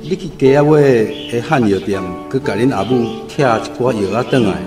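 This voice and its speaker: A male actor as a poor, middle-aged village father in a radio drama, grumbling under his worries with a Taiwanese accent. The sound is roomy and echoing, with clear music behind him. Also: mid-range voice, normal speed, natural voice. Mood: summoning, instructing.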